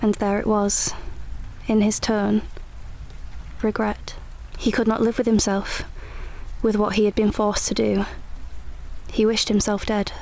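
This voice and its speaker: A woman playing a spirited, frank young suffragette of 1912 in a radio drama, narrating and speaking in a measured, earnest, literary way. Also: mid-range voice, monotone, gravelly, a little mellow, low-quality recording. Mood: sombre, reflective.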